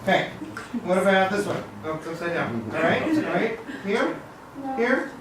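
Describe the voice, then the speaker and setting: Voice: medium pitch, very animated, normal speed, lightly acted. A student performer playing a friendly, puzzled helper in a live children's puppet show. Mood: puzzled, encouraging. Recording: noisy echoey hall, far from mic.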